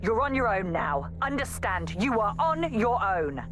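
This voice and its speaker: A woman's voice: fairly high pitch, sing-song, unhurried, music in the background. An actress playing an exasperated, protective young woman assassin in a video game audio log, scolding and pleading emphatically. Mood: angry.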